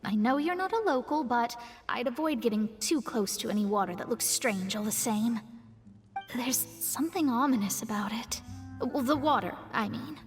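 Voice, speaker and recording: A woman's voice: fairly high pitch, lively intonation, moderate pace, in a large hall, faint music behind, lightly acted. A woman voicing a proud young female astrologist in a studio game, composed and a little haughty as she explains things. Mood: serious.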